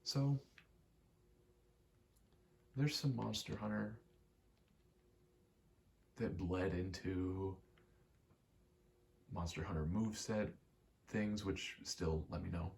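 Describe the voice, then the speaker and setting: Very deep, creaky-voiced young man rambling casually. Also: slow pace, long pauses, darkish tone. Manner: self-deprecating, profane. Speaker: ASMR video creator. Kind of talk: rambling casual ASMR talk.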